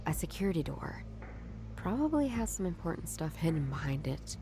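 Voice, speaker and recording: Low-voiced, sardonic female detective. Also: big pitch swings, moderate pace, noisy background. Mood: musing, low. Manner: dry, sarcastic, brusque. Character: curt, sardonic female detective, impatient and secretive. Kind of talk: noir video game voice acting.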